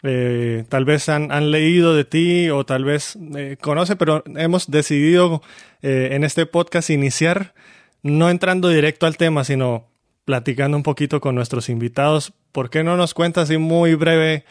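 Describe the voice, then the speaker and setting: A man's voice: fairly high pitch, moderate pace, no echo, clean studio sound. A man co-hosting a podcast, opening topics and greeting guests in a conversational way. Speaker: podcast co-host.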